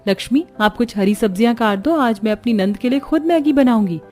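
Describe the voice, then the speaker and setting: A woman's voice: fairly high pitch, animated, brisk, darkish tone, lightly acted. A woman voicing a sweet young bride from a poor family in a cartoon. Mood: friendly.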